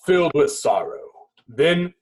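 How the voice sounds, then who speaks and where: A man reading stage directions as narrator at a table read, in dramatic, clipped phrases with pauses. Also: on the high side, expressive.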